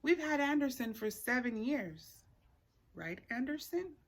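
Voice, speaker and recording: A polite, firm neighbor lady in a storybook, read by a woman, with a slightly high voice. She speaks slowly and matter-of-factly, spacing out her phrases. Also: very animated, heavily acted. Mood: firmly correcting.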